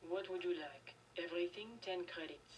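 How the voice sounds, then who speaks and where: A woman's voice: lowish voice, steady tone, normal speed, distant mic, slight background noise, close to an everyday voice, low-quality recording. A woman playing a defiant, world-weary cafe keeper in a video game, resolute and conspiratorial. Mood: defiant, guarded.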